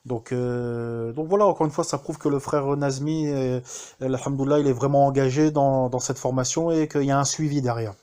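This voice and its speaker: Un homme français qui témoigne de manière spontanée, en hésitant souvent et en reprenant ses phrases. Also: male voice, mid-range voice, fairly even intonation, brisk, warm tone. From France. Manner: hesitant, many fillers and restarts. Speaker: student giving a testimonial. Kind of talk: casual spoken testimonial.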